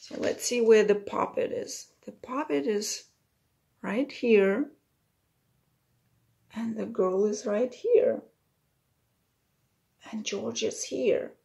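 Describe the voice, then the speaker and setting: A woman reads to young children as a teacher would, at a very unhurried pace. Her voice sits a little high. She phrases things simply and pauses the story to explain it. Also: gravelly, dark tone. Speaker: teacher reading aloud. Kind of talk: children's picture-book read-aloud with explanatory asides.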